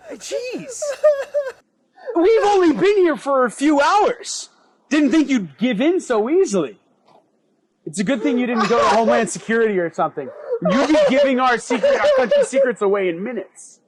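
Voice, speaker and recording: Casting: male actor for a flippant, needling twin brother in an audio drama, unfazed by trouble, high-voiced and animated. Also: very noisy. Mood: incredulous, amused. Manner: teasing, flippant. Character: cocky, joking twin brother, unfazed by jail. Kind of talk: amateur dramatic script reading.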